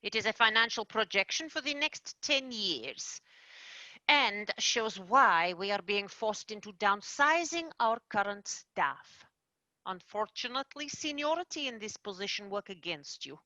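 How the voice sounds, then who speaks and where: A woman playing a formal, measured manager of tooth fairies, in an amateur radio play. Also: dry recording, lo-fi sound. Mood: formal, brisk. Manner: formal, measured, lively pitch. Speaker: manager firing an agent.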